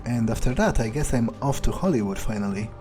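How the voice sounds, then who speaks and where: A man's voice: medium pitch, animated, fast talker, dark tone, faint music behind. A man doing in-character roleplay commentary on a video game, musing and thinking aloud. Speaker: gameplay video host.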